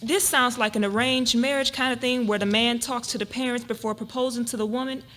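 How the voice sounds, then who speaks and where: Skeptical, independent young woman, acted by a woman, higher-pitched, expressive, colloquial, live stage reading with faint noise. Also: a little fast, lightly acted. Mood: doubtful, tempted. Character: wary, independent young female college graduate being courted. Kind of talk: live dramatic reading of a novel. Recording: noisy live room recording.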